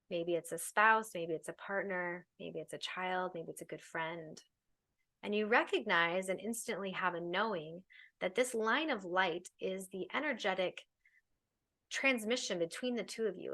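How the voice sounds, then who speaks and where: A woman teaches a guided meditation in a medium-pitched voice. She gives her instructions in a soothing, unhurried manner. She leaves space between phrases as she leads the listener along. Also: a bit bright.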